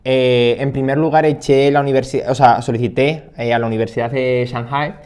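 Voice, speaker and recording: A man's voice: medium pitch, fairly even intonation, fairly quick, darkish tone, clear, resonant tone. Hesitant young man, casual chat. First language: Spanish. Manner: hesitant, many fillers and restarts. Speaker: interpreting master's student.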